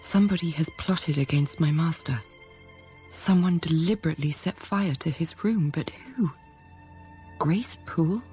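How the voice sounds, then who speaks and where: An actress playing an introspective young governess narrating her own story, hushed, intimate and deliberate, in a radio drama. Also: low-pitched, soft background music. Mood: anxious, brooding. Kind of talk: dramatised first-person narration.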